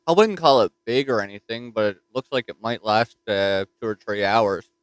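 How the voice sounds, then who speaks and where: A man with a Newfoundland accent chatting casually as a ham radio operator from his home station, relaxed and easygoing. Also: lowish voice, normal speed, clean tone. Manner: easygoing, says "roger that".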